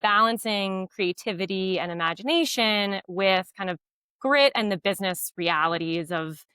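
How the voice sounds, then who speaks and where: Podcast guest, woman, low voice but bright and crisp, dry close mic. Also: normal speed, no echo. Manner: fluent, explanatory, with frequent fillers. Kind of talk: podcast interview.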